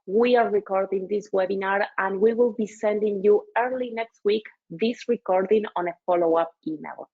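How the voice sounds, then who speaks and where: A woman's voice: medium pitch, moderate pace, few pauses, phone-quality sound. A woman hosting a webinar, speaking with many hesitations and fillers. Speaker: webinar host.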